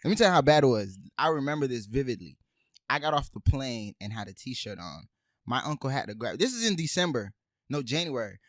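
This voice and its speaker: A young man from Haiti tells stories with lots of energy on a casual podcast, dropping "bruh" and "man" all the time. His voice is close-miked and dry, with a mid-range pitch. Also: fairly quick, dry recording. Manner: animated storyteller, says "bruh" and "man" a lot. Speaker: podcast co-host. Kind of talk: casual chat.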